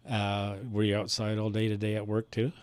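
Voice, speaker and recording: Low-voiced man in casual radio chat. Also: monotone, normal speed, darkish tone, smooth. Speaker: amateur radio operator. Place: amateur radio contact.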